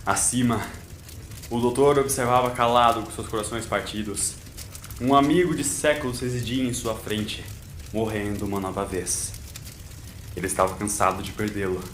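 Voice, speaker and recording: Low-voiced guy narrating an audio drama, solemn, echoey room with some hiss. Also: steady tone, a bit bright. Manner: solemn and measured. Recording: roomy echo, faint hiss.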